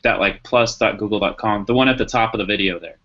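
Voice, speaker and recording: A man's voice: lowish voice, quick pace, fairly bright tone. A man chatting casually in an online group discussion, helpful and encouraging as he facilitates. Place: online video call. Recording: dull lo-fi video call.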